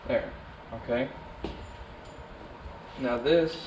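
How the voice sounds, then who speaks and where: A man narrating a DIY vehicle install in a relaxed, casual way, talking to himself as he works. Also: mid-range voice, extremely slow, noisy background. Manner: laid-back, talks to himself while working. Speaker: DIY vlogger. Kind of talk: casual how-to narration while working. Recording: roomy, distant, dull camera audio.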